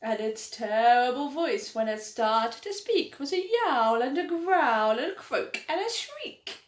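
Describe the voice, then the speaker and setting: Animated woman reading a picture book. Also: sits high, measured pace. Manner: animated, sing-song rhyming delivery. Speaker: storytime reader. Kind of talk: children's picture-book read-aloud. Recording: echoey room.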